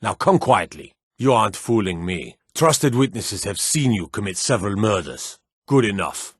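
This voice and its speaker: A stern city guard from a fantasy video game, with a low, slightly husky voice. He is gruff and authoritative, handing out clipped threats like a weary lawman who could be bought. The recording is dry and close. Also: big pitch swings, moderate pace, dry recording. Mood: contemptuous. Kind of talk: scripted fantasy game voice lines.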